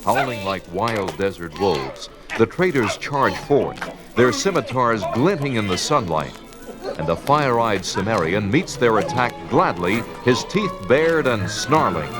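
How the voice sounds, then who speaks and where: An actor playing a grave, dramatic narrator of an adventure tale in a 1970s audio drama. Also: male voice, on the low side, big pitch swings, unhurried, music in the background.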